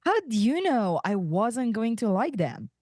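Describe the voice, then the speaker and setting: Woman playing a whiny young male ensign, wildly swooping pitch. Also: very animated, measured pace, a little mellow, no echo, studio quality. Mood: dejected. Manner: exasperated, plaintive. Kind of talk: fan table read of an animated sci-fi comedy script.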